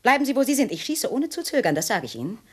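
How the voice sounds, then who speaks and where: An actress playing a tense, defiant housewife in a German radio crime drama, giving sharp commands that break into breathy hesitation. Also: female voice, fairly high pitch, very animated, fast talker. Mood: defiant, threatening. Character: tense, defiant housewife holding an intruder at gunpoint.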